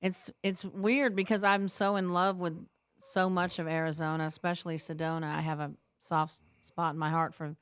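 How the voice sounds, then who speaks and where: Chatty woman with a low, smooth voice. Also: flat delivery, normal speed, few pauses, no echo. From born in Australia, raised in the United States. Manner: chatty and laughing, with many fillers. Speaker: guest singer. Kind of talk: casual interview chat.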